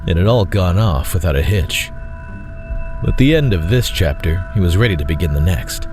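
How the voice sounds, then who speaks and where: A man reading scripted dramatic fiction as narrator, in a measured, solemn way. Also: deep voice, animated, darkish tone. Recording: faint music bed behind voice.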